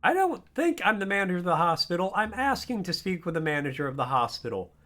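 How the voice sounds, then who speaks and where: A hoarse man streaming a video game, joking and rambling casually. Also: high voice, sing-song, quick pace, bright, forceful tone. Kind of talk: casual gaming stream chat.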